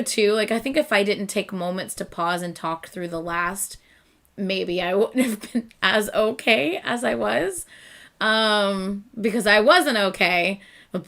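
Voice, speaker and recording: A woman's voice: mid-range voice, fairly bright tone. A young woman hosting a late-night reaction livestream, chatting casually with viewers and laughing often as her voice begins to give out. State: losing her voice. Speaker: reaction channel host.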